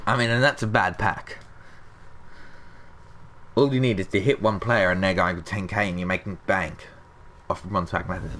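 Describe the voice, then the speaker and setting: A man's voice: lowish voice, fairly bright tone. Slightly sick, nasal young man. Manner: chatty, talks to viewers and chat. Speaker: gaming channel host. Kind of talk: casual gameplay commentary.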